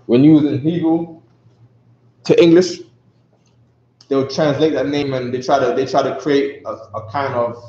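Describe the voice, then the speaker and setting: A man's voice: mid-range voice, darkish tone. A man teaching a casual live class, who keeps repeating himself and asking "you know what I'm saying?". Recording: echoey, distant, dull live stream.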